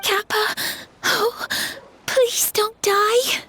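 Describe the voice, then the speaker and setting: A woman voicing a tiny, childlike, fretful creature in an anime game, squeaky and wailing. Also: steady tone, extremely slow, sharp tone, a little hiss or hum, full character voice. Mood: tearful worry.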